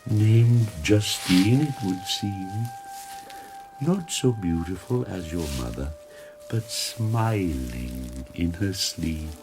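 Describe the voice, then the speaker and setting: An actor playing a lonely, tormented, hideous monster in an audiobook drama, eloquent and theatrical, moving between hushed murmurs and fervent pleas, with a gravelly voice. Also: male voice, low voice, expressive, slow pace, over music, low-quality recording. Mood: brooding. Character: lonely, tormented, hideous male monster pleading with his maker.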